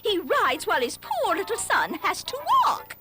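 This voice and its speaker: A woman's voice: very high-pitched, sing-song, moderate pace, music in the background. A woman playing a disapproving, scolding onlooker in a cartoon fable. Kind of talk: animated fable dialogue.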